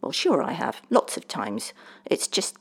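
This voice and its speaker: A woman's voice: extremely low pitch, wildly swooping pitch, unhurried, creaky, breathy edge, big-room sound, exaggerated voice. A woman voicing a moody, flustered schoolboy in an audiobook story, slangy and defensive. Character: moody, flustered Irish schoolboy in his teens.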